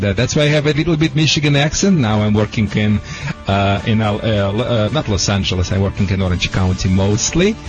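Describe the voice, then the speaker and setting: A man from Russia with a Russian accent, a dog trainer talking boastfully in halting, broken English with many fillers. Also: on the low side, poor audio. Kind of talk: casual radio chat.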